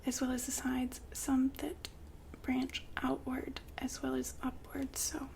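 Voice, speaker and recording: A woman whispering softly and breathily in an ASMR roleplay, playing a gentle, attentive shop consultant. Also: high-pitched, monotone, slow, vocal fry. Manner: gentle, attentive customer-service patter. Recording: faint background noise and ambience.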